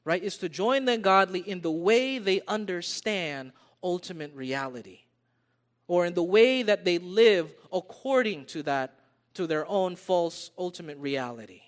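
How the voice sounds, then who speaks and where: A man's voice: sits high, expressive, a little slow. An American man preaching a sermon, repeating his phrases for emphasis and calling his listeners beloved. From United States. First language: English. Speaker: pastor.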